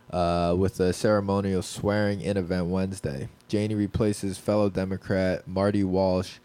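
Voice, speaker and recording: A man with a lowish voice chatting loosely on a podcast. He rambles through stories with plenty of "uh" and "like" and swears without a second thought. He comes from Pennsylvania. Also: darkish tone, clear, resonant tone. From Pennsylvania, Poconos area. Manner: rambling, swears freely, many fillers. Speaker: podcast co-host. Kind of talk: casual podcast chat.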